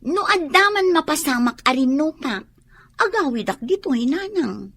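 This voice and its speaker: A woman's voice: high voice, very animated, moderate pace, fairly bright tone, no echo, exaggerated voice. A woman with an Ilocano accent playing a stubborn, eager young country daughter in a radio drama, whiny and insistent. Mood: defiant.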